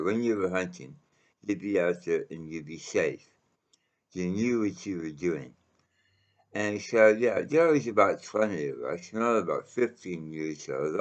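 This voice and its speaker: Very deep-voiced man, slow casual storyteller. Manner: halting, rambling delivery with frequent pauses. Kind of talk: casual personal anecdote.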